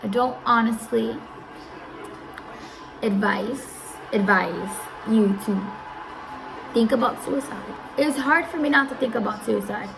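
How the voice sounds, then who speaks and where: A woman's voice: on the high side, slow pace, creaky, pauses often, over music, rough recording. A woman in her early twenties giving casual, rambling advice as a live streamer, often repeating herself and losing her thread. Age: early 20s.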